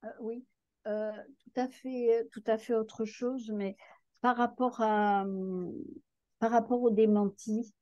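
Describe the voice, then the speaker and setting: A woman in a seminar, heard from some distance on a dull, dry recording. Her voice is mellow and low in brightness, and she speaks tentatively, filling gaps with drawn-out hesitations and nodding agreement. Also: steady tone, dry recording. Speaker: seminar participant.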